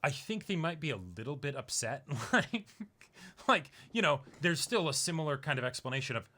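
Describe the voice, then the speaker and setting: A man's voice: on the high side, sing-song, normal speed, sharp tone. A man in a casual discussion as a co-host, talkative and explaining his points as he goes. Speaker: co-host of an anime reaction discussion.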